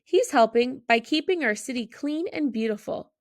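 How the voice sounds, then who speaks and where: A woman voicing a curious, earnest young schoolgirl in an expressive storybook reading. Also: high voice, normal speed, voice pushed up. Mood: excited.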